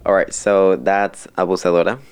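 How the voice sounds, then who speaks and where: A young man from Mexico, a guest in a casual interview, talking haltingly with many fillers and restarts. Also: low-pitched, flat delivery, clean tone.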